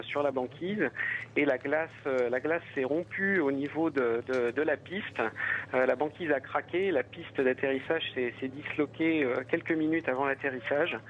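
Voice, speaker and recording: A man is calling in over a tinny phone line. He speaks haltingly, with many fillers and restarts. His voice sits a little high, and there is faint noise behind him. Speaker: marathon runner on the phone. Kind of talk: phone interview. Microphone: telephone.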